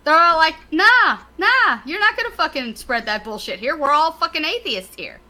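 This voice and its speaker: A woman's voice: sits high, expressive, moderate pace, bright, forceful tone. A woman co-hosting a casual podcast, speaking bluntly and swearing a lot.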